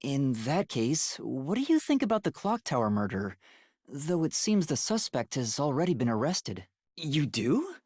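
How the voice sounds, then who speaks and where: Young trainee detective, timid, earnest, breathy, very animated pitch, polite and hesitant, anime game dialogue, dry close sound. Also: male voice, measured pace, no room sound. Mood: polite, inquiring. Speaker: voice actor in a detective video game. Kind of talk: English anime-style game voice acting.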